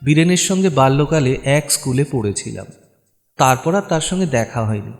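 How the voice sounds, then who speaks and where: A man's voice: medium pitch, expressive, normal speed. A man narrating short stories from a script as a storyteller, in a dramatic style. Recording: long reverb, music behind.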